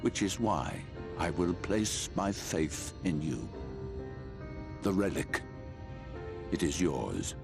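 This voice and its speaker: A grave, imposing fantasy game figure, deep and gravelly. He speaks extremely slowly, with solemn, formal weight. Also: big pitch swings, music in the background, exaggerated voice. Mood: solemn trust. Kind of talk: video game cutscene acting.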